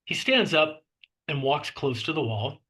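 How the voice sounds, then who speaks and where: A man narrates the action lines of a screenplay. His voice is slightly high and bright, with a clearly husky grain, as he reads scripted scene directions. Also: expressive, measured pace. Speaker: narrator reading stage directions.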